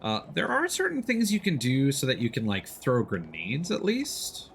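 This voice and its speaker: A man's voice: medium pitch, huge pitch swings, normal speed. A man giving casual gameplay commentary on a stream, thinking aloud in a hesitant way as he plays. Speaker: livestreamer, gamer. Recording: clear game noise behind. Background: video game sounds.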